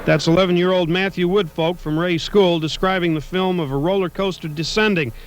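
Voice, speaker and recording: A man's voice: sits high, fairly even intonation, fairly quick, heavy background noise. A man with an American accent giving a radio news report on an archival field recording.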